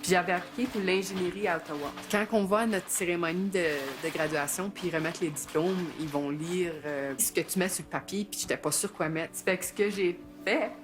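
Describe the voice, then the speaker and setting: A middle-aged woman from Northern Ontario, chatting in a documentary interview and laughing often. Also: fairly low pitch. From Sudbury, Northern Ontario, Canada. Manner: chatty, laughs often, uses many fillers. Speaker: mountaineer interviewee. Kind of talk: documentary interview, casual recollection.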